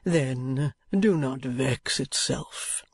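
Foppish storybook husband acted by a narrator: languid, very slow, affected, gushing, dry close recording. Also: medium pitch, slightly rough, no echo, full character voice. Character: foppish, idle, gushingly amorous husband, a dandy.

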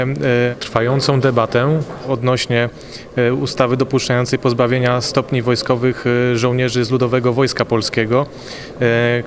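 Man interviewing, flat delivery, mid pitch, background noise. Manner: flat, reads long questions with fillers. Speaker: reporter. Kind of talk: interview questions. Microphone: handheld mic.

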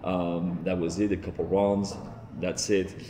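A man with a French accent speaks in a low, grounded voice, steady and fluent with the occasional um and repeat. He sits in a large open-plan office, and the sound rings with a long, roomy reverb. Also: a little slow, slight background noise. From France. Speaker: entrepreneur guest. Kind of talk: seated interview, telling his story.

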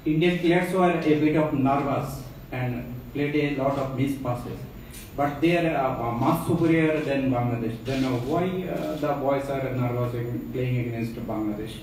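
A man's voice: mid-range voice, a little slow, a little mellow. A very echoey, distant recording with faint noise. A man with non-native English hesitantly puts a question as a reporter at a press conference. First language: not English.

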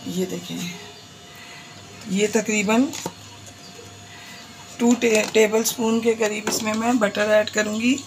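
A woman's voice: medium pitch, noisy background. A woman with a Pakistani Urdu accent hosting a home cooking tutorial, talking viewers through each step in a chatty way. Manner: chatty, repeatedly points things out to viewers. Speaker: cooking channel host. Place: home kitchen.

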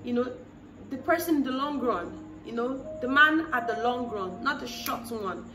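A woman in her thirties gives earnest, sermon-like advice straight to the camera and repeats her key lines for weight. Her voice sits a little high. She sounds distant in a roomy space, with music playing behind her. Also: lively intonation, moderate pace. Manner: earnest, preachy, repeats phrases for emphasis, acts out quoted voices. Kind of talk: direct-to-camera advice talk. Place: small room against a plain wall. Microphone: camera in front, chest-up framing.

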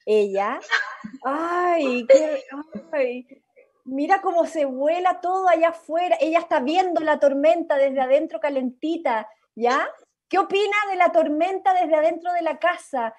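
High-pitched Chilean woman coaching actors. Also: measured pace. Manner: encouraging, directing. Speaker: workshop facilitator and director. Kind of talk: improvised coaching in an online drama workshop. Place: indoors at home, on a video call. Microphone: video call.

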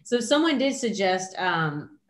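A middle-aged woman hosting a casual video call chat, talking in a chatty, animated way with lots of asides. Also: mid-range voice, unhurried. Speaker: host. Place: home room indoors. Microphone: video call, webcam.

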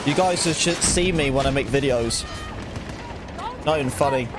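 Young male streamer, casual and chatty with a light stutter, talking over loud game audio. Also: mid-range voice, moderate pace, noisy background. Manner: chatty, thanks viewers, stutters at times. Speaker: gaming livestreamer. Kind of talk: casual livestream chat while playing. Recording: game sound mixed loud behind voice. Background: game gunfire and explosions.

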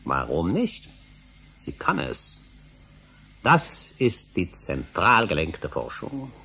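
A man's voice: sits low, wildly swooping pitch, takes it slowly, sharp tone, slightly rough, full character voice. An actor playing an obsessive middle-aged male scholar in a German radio drama, speaking emphatically and rhetorically. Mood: urgent. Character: obsessive older male scholar, insistent and indignant.

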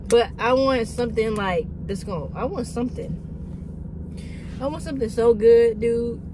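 A woman's voice: a little high, normal speed, noisy background. A woman in her early twenties chatting casually to her phone camera from a parked car, rambling with lots of "like" and "dude". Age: early 20s. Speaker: vlogger. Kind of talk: casual chat while sitting in a car. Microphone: phone camera on dashboard.

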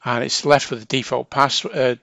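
A man's voice: medium pitch, normal speed, gravelly, bright, forceful tone, no echo. A man giving an informal hands-on tutorial as a technical trainer, plain-spoken and going step by step.

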